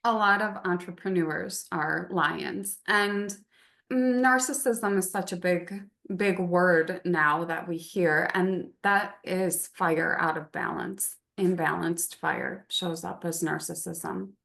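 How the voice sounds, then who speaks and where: A woman who is a podcast guest, speaking calmly in a monotone. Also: lowish voice, takes it slowly, creaky. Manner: calm, monotone delivery, as she says herself. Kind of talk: casual podcast conversation.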